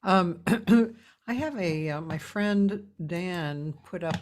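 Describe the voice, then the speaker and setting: A woman hosting an interview over a video call, talking in an unhurried way with frequent laughter. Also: lowish voice. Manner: unhurried, warm laughter, trailing pauses. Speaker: host. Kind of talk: casual interview chat.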